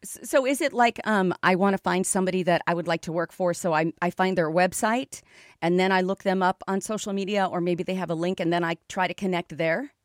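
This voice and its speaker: A woman's voice: lowish voice, brisk, no room sound, studio quality. A woman hosting a podcast, asking questions and summing up what her guest says. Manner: inquisitive, sums up the guest's points. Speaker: podcast host. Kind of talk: interviewing.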